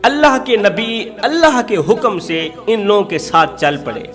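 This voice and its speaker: A male preacher delivers an emotional moral story in a very high-pitched voice. He tells it dramatically and repeats his phrases to drive them home. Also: big pitch swings, moderate pace, a bit bright. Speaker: preacher telling a moral tale. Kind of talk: emotional Urdu sermon storytelling.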